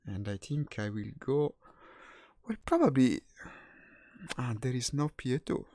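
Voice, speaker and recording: Italian-accented man with a gravelly edge. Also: medium pitch, big pitch swings, unhurried, warm tone. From Italy. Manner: chatty, thinking aloud while juggling game and chat. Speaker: streamer. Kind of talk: casual gaming chat.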